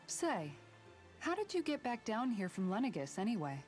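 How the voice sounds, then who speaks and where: An actress playing a frank, fiercely supportive young fantasy fighter in a video game, earnest and firm. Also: female voice, on the high side, sing-song, normal speed, a little mellow, soft background music. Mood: concerned. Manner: earnest, firm, plain-spoken. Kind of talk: dramatic video game voice acting.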